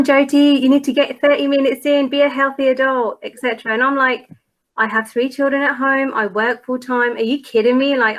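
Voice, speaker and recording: A woman's voice: on the high side, fairly bright tone, poor audio. A middle-aged woman presenting a parent workshop in a conversational, informal way, relatable and reassuring.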